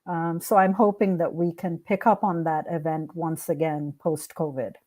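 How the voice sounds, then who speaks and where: Mellow, low-voiced woman in an interview. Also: steady tone, moderate pace. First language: Hindi. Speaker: podcast guest, art curator and founder. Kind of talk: conversational interview answers.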